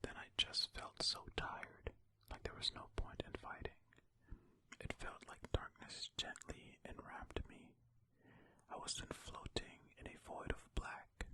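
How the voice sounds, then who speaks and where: An ASMR host whispering ear to ear in a tentative, musing way, reading and commenting close to the microphone. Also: pushed and bright. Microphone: close stereo ASMR microphone.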